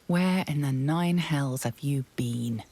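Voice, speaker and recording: A woman playing an ominous storyteller, giving measured, hushed, portentous narration for a fantasy video game. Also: very deep voice, husky, heavily acted.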